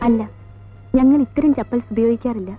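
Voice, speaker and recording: Casting: actress playing a self-possessed woman with a high voice, thin phone-like old film sound. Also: a little fast, soft background music, close to an everyday voice. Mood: guarded, firm. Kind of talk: 1980s Malayalam film acting.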